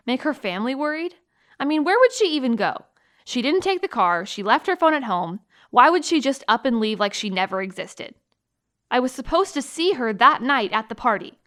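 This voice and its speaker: An actress playing a loyal, worried high school girl, speaking earnestly in a naturalistic audio drama testimony. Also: big pitch swings, normal speed, a bit bright. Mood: upset, insistent. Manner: earnest, emphatic.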